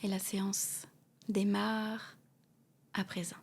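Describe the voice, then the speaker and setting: A woman guiding a yoga nidra meditation, speaking softly with pauses and repeated soothing phrases, often trailing off into a breathy whisper. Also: mid-range voice, flat delivery. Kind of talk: guided meditation with a read inspirational text.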